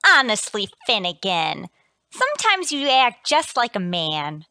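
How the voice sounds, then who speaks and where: Female actor for indignant, sharp-tongued barroom gal in vintage radio comedy; animated delivery, bright voice. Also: on the high side, measured pace, dry recording, studio quality, heavily acted. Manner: haughty, indignant, snappy comebacks. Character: man-hungry, easily offended, sharp-tongued woman at a tavern. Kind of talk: 1940s radio comedy acting.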